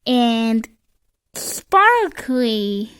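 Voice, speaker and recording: A reader with a childlike voice reads a children's picture book aloud haltingly, stumbling over and repeating words. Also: mid-range voice, big pitch swings, a bit bright, a little hiss or hum. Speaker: storybook reader.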